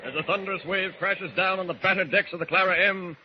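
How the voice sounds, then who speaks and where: A man's voice: sits high, fairly even intonation, fast talker. A man delivering dramatic scripted narration as the announcer of an old-time radio serial. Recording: muffled, very noisy old radio recording.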